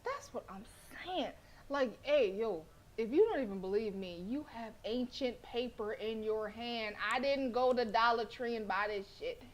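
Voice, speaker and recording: Loud, playful young woman streamer. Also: a little high, normal speed, dull audio. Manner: loud, playful, slangy, animated. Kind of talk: casual gameplay commentary and reading.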